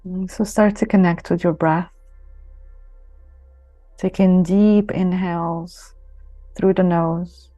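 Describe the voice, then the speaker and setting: Slow, mellow woman guiding meditation. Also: on the low side, fairly even intonation, no echo. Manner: soothing, unhurried, with long pauses. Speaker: yoga nidra guide. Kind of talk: guided meditation. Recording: close dry voice over soft music. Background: soft music.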